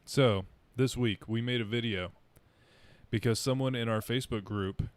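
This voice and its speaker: A man's voice: medium pitch, moderate pace, no room sound. A man co-hosting a casual podcast, chatting in an easygoing, upbeat way. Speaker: podcast co-host.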